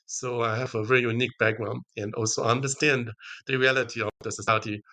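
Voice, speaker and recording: Bright, forceful older man, Taiwanese accent. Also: medium pitch, flat delivery. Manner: halting non-native English phrasing. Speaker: podcast guest, technology executive. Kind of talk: interview answers, personal storytelling.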